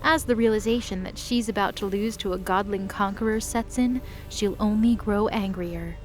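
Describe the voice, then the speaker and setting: A woman giving scripted narration of a fantasy battle in a steady, measured way. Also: medium pitch. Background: music.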